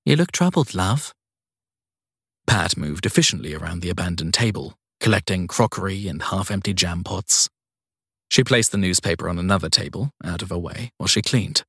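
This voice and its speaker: A man reads fiction as an audiobook narrator. His voice is low and slightly husky, with measured, descriptive delivery in a dry, close recording. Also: fairly bright tone, dry recording.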